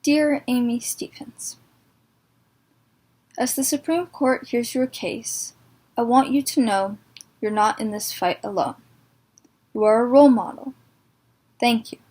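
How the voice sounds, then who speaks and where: A woman's voice: a little high, steady tone, unhurried, long pauses, a little mellow. Teenage girl reading a sincere letter. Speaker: letter writer. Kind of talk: reading a personal letter aloud.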